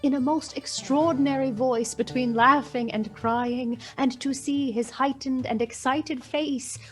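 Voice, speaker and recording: A woman narrating a literary radio play in a measured, dramatic and deliberate way. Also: sits high, steady tone, soft background music.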